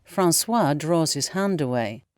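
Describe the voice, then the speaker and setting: A woman's voice: deep voice, animated, measured pace, a little mellow, no room sound, clean studio sound. A woman reading stage directions as the narrator of an audio play.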